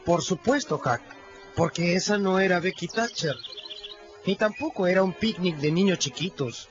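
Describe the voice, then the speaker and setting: A man plays a know-it-all boy leader in a radio drama. His voice is high and he lectures with confident emphasis. The sound is slightly dull, with music behind. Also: normal speed, lightly acted. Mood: cocksure.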